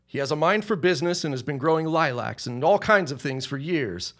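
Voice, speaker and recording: 男性が演じる反抗的で辛辣な息子. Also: male voice, on the high side, animated, a bit bright. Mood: firm, defiant. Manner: formal, measured, cutting. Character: defiant, contemptuous grown son of a rich reverend. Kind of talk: period audio drama acting.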